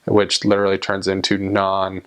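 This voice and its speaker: Relaxed rambling man, low voice. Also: normal speed, smooth. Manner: relaxed, rambling, frequent fillers and restarts. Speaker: vlogger recounting time abroad. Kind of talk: casual talking to camera.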